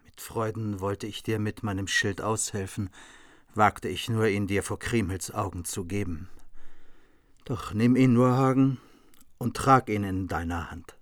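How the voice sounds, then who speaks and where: A man's voice: lowish voice, unhurried, no echo, clean studio sound, lightly acted. An actor playing a generous, sorrowful nobleman in a radio play.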